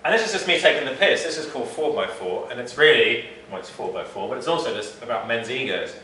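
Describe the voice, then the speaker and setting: A man's voice: mid-range voice, expressive, quick pace, pushed and bright, a little hiss or hum. A man in his forties from England giving an informal, rambling, self-deprecating talk about his artwork to an audience in a small gallery, recorded from a distant camera. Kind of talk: informal public talk with slides. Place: small dim gallery room. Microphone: far camera, no mic. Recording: roomy echo from far off.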